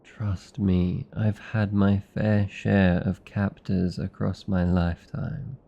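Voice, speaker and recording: Man playing a slow, creaky-voiced British vampire lover. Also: deep voice, little pitch movement, mellow, lo-fi sound, full character voice. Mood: exasperated, scolding. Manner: slow, deliberate, theatrical, condescendingly affectionate. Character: possessive, weary male vampire lover, haughty toward mortals. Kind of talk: intimate ASMR roleplay acting.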